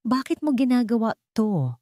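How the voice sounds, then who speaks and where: A woman voicing a wounded, wistful young businessman in a storybook drama, speaking softly and confidingly. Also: fairly high pitch, very animated, normal speed, no room sound, clean studio sound, voice pushed up. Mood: quietly curious. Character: wealthy young businessman, wounded, wistful, bitter about duty.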